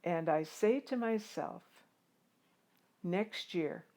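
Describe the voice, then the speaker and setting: Woman reading as slow-spoken earnest farm boy. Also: fairly low pitch, mellow. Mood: resolute, wistful. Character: teenage farm boy, earnest, determined, dust bowl narrator. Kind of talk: dramatic first-person storybook reading.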